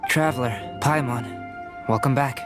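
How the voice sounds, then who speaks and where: A man's voice: medium pitch, close to an everyday voice. A man as a composed, scholarly young game alchemist, music behind. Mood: polite, composed. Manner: measured, formal, scholarly. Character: Albedo, calm, courteous, analytical young male alchemist. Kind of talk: video game voice acting.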